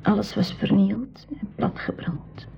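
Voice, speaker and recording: A woman's voice: mid-range voice, little pitch movement, measured pace, creaky, in a whisper. A woman playing a shy, frightened seventeen-year-old refugee girl in a school radio play, hesitant and sparing with words. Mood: sad, shaken. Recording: dull lo-fi 1980s radio recording.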